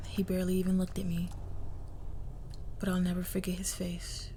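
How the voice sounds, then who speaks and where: Woman acting as slow, hushed, menacing mafia daughter. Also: on the low side, a little hiss or hum. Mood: menacing, taunting. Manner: hushed, slow, deliberate, breathy near-whisper. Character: cold, menacing young crime boss's daughter confessing murder. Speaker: audio roleplay voice actor. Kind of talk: intimate scripted audio roleplay, dramatic monologue.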